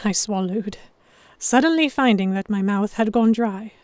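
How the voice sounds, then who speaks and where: A woman plays a young noblewoman telling her own tale in an audio drama. She is stubborn and easily flustered, with a measured, expressive delivery at a medium pitch. The sound is close and dry. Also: no room sound, close to an everyday voice. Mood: tense, wistful narration. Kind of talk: first-person audio drama narration.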